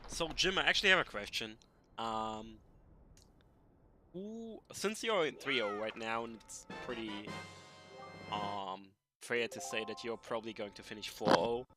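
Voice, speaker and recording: Male interviewer, mid pitch, halting delivery, clear music bed. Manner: hesitant questions with many ums. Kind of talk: casual stream interview.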